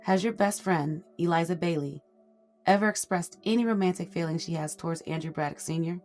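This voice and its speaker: A woman's voice: on the low side, fairly quick, darkish tone, soft background music, lightly acted. An actress playing a female prosecutor who presses a witness with pointed questions. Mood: pressing.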